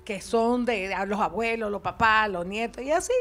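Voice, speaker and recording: An older woman, a guest on a TV chat set, speaking slowly and thoughtfully into a handheld mic. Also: medium pitch, fairly bright tone, soft background music. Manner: slow, drawn-out, thoughtful. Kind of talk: casual TV chat. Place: TV studio set.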